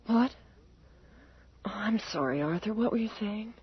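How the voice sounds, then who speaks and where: An actress playing a distracted, fragile, haunted wife in an old-time radio drama, subdued and faraway in manner. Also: female voice, medium pitch, expressive, measured pace, breathy edge. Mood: absent, defensive. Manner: subdued, distant. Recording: dull, faintly noisy old radio recording.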